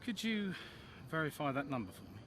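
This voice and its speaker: An actor playing a blunt, coaxing older racing team mentor in a video game cutscene. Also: male voice, fairly high pitch, big pitch swings, fairly quick, fairly bright tone, slight background noise. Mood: blunt, exasperated.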